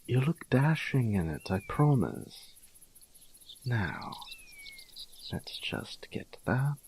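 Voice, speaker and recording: A man's voice: medium pitch, sing-song, slow pace, darkish tone, a little hiss or hum, voice pushed up. A man playing a dapper, fussy, teasing young nobleman in an audio drama, speaking in an airy, flowery, mannered way. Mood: delighted, teasing.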